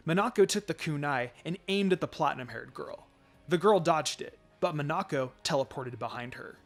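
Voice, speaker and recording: A man's voice: on the high side, lively intonation, moderate pace, soft background music. A man reading fan fiction aloud as a dramatic story narration.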